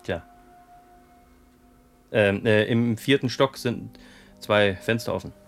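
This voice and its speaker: A genial male sergeant talks very slowly, with halting, laconic phrases. It is radio-drama acting with music clearly behind the voice. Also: lowish voice. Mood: affable, sheepish. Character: genial, unhurried male police sergeant on rounds. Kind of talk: German radio play acting.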